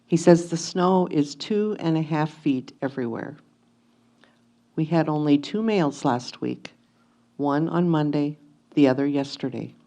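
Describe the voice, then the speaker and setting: A woman playing an anxious, curious 1850s wife, reading a letter to her husband aloud in a gentle, deliberate way. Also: deep voice. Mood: matter-of-fact. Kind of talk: reader's theatre letter reading.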